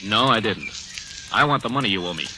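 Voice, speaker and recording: An actor playing a firm, demanding creditor in an old radio drama, pressing and insistent, on a noisy recording. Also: male voice, fairly low pitch, animated, brisk, natural voice. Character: firm, demanding male creditor with a smooth front. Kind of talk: 1940s radio drama acting.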